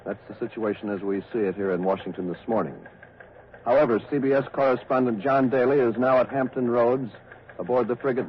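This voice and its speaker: A man's voice: medium pitch, natural voice. An actor playing a grave 1940s radio newsman, delivering a formal, dramatic and portentous wartime bulletin. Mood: businesslike. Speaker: news anchor. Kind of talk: 1940s radio newscast, dramatic scripted narration. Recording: noisy, muffled 1940s radio recording.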